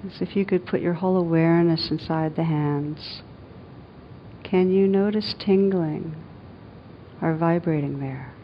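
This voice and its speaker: A woman with a fairly low voice leads a guided meditation, speaking gently and soothingly. She leaves long, unhurried pauses between phrases. The recording is somewhat dull, with a faint rumbling noise behind her. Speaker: meditation teacher.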